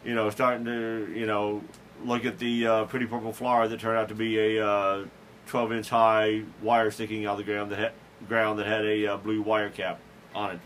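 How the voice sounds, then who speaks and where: A man's voice: fairly low pitch, level tone, moderate pace, a bit bright, slight background noise. A man hosting a podcast, telling a rambling, self-deprecating story in a casual chat, chuckling and restarting his sentences. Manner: frequent restarts and fillers. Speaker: podcast host.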